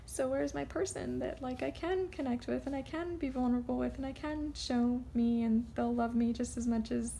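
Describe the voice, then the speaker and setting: A woman giving a casual, unscripted card reading, rambling and halting as she drifts off on tangents. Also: sits high, vocal fry, mellow, a little hiss or hum. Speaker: tarot card reader.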